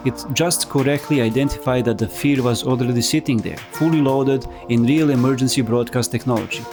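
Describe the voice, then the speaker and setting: A man with a mid-pitched voice narrates a scripted video essay over background music. His delivery is explanatory and conversational, with wry asides worked into the script.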